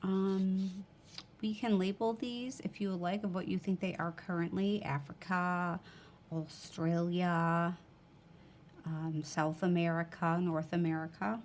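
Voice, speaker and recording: A woman's voice: fairly low pitch, little pitch movement, unhurried, darkish tone, slight background noise. A woman teacher reads a textbook aloud to students, breaking off for informal explanatory asides.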